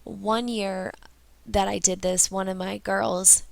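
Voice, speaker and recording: A woman's voice: mid-range voice, flat delivery, takes it slowly, gravelly, no room sound. A young woman, a teacher who sounds stuffy and under the weather, patiently walking colleagues step by step through a training session. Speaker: teacher leading staff training. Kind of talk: instructional screen-share walkthrough.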